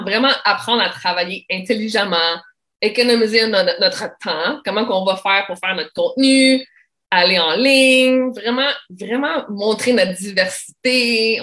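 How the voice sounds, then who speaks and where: A woman's voice: medium pitch, a bit bright. A woman co-hosting a business livestream in casual chat, bubbly and laughing often, over a video call. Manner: bubbly, laughs often, says "like" and "really" a lot.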